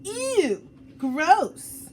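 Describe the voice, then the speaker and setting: A proud little schoolgirl with a snappy streak, played by a woman reading a storybook. Her voice sits high and jumps about in emphatic bursts, with faint noise behind. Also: very animated, very slow, darkish tone, full character voice. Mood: disgusted.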